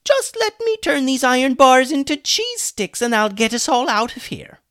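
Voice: medium pitch, huge pitch swings, unhurried, dry recording, studio quality, pitched above the performer's own voice. A storyteller voicing a weary, sarcastic chicken wizard for a children's story. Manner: sarcastic, exasperated. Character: mocking, weary chicken wizard prisoner with human hands.

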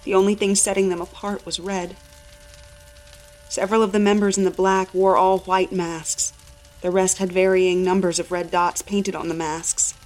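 A woman's voice: mid-range voice, level tone, moderate pace, a little mellow, soft background music, rough recording. A woman reading a first-person horror story as a dramatic narrator.

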